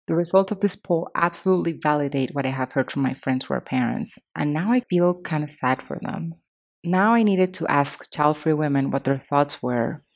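A woman's voice: deep voice, highs cut off. A woman in her thirties hosting a podcast, reading scripted commentary in a candid, conversational way. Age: 30s. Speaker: podcast host.

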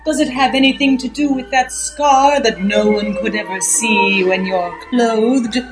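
Sultry, devoted mistress, acted by a woman, high voice, unhurried delivery, melodramatic classic radio serial. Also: music in the background, natural voice, low-quality recording. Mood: alarmed. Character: sultry, adoring lover of a movie star. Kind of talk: improvised old-time radio drama acting.

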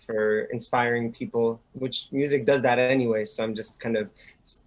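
Guest, young man, mid-pitched voice, hesitant and repetitive starts. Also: steady tone, normal speed. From Sweden, raised in Montreal. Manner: hesitant, repeats words as he starts. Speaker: guest, rabbinic student. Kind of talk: interview answers.

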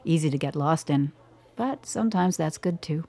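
A woman in a radio play playing an enigmatic, self-assured woman with an unhurried, cryptic and teasing manner. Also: sits low, lively intonation, warm tone. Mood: cool, teasing. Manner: unhurried, cryptic, at times distant.

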